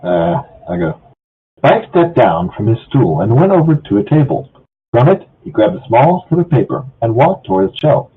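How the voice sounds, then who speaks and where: Husky man on a phone-like voice call. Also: mid-range voice, very animated, moderate pace. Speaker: amateur fan fiction reader. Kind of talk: casual chat and group story reading. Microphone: online voice call. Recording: muffled, phone-like online voice call.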